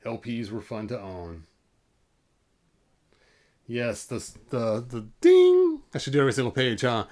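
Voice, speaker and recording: A man's voice: mid-range voice, big pitch swings, unhurried, pauses often, a little mellow. A man hosting a casual livestream storybook read-aloud, informal, stumbling over words and breaking off for asides. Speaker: host reading a storybook aloud to online viewers.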